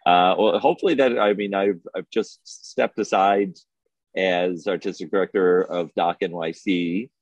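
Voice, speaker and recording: Very deep-voiced man, close and dry. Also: steady tone, unhurried, dry recording. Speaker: interview guest, festival programmer. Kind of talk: measured conversational recounting.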